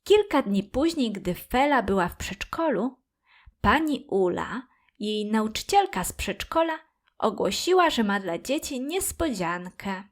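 Female narrator for preschool audio tales; calm, gentle, fairly high-pitched, very unhurried and carefully enunciated. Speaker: children's story narrator. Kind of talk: scripted storytelling for preschoolers.

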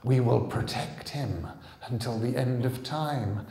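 A man's voice: medium pitch, takes it slowly, gravelly, mellow. A man voicing a huge ancient guardian spirit in a storybook, solemn, sighing and full of longing. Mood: solemn triumph. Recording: roomy, echoing live hall sound.

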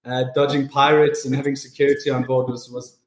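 A man's voice: mid-range voice, flat delivery, fairly quick. A man answering casually as an interview guest, often repeating words as he goes. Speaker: business development manager, interview guest. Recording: roomy, echoing sound.

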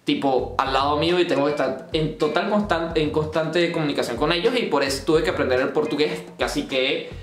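A man's voice: a little high, fairly quick, hardly any pauses. Rambling Venezuelan man in an echoey room. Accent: Venezuelan Spanish, Caracas. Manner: rambling, restarts often, gestures while talking. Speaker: vlogger. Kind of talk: casual talk to camera. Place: bedroom indoors.

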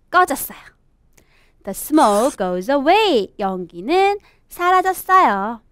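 A young woman presenting a children's storybook English lesson, reading aloud and explaining in an expressive, sing-song teacher's manner. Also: high-pitched, dry recording, studio quality. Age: young adult. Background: background music.

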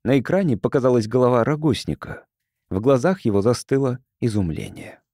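A man's voice: medium pitch, sing-song, fairly quick, mellow, dry recording, clean studio sound. A man reading science fiction as a scripted audiobook narrator.

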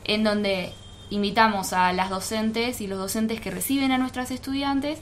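A woman's voice: fairly high pitch, fairly even intonation, normal speed, slight background noise. A woman with an Argentine accent giving an informal, explanatory account in a radio interview. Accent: Argentine Spanish. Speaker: guest, teaching practice coordinator.

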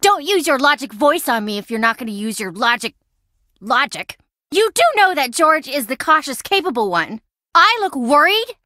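Video game role: an insecure, boy-crazy young woman, dramatic, very high voice with big swings. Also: moderate pace, dry recording, heavily acted. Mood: anxious, despairing. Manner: dramatic, expressive, emotionally swinging.

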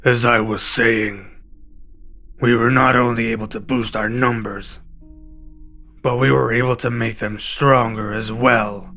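Slow, forceful villain overlord, acted by a man. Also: mid-range voice, fairly even intonation, vocal fry. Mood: commanding. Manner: imperious, slow and deliberate. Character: cold, menacing male villain overlord. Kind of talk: roleplay ASMR villain acting. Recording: music behind the voice.

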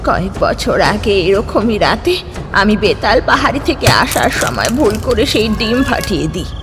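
A woman voicing a stern, wise old village sorceress who gives firm orders and tells dark tales. Loud music plays behind her. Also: medium pitch, big pitch swings, normal speed, lightly acted. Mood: storytelling. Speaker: healer of the story.

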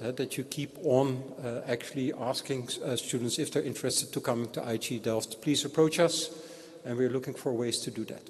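A man giving a ceremonial speech and introducing a guest speaker, measured and hesitant, with frequent fillers. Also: mid-range voice. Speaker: institute representative introducing speaker. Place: large old church. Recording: long church reverb.

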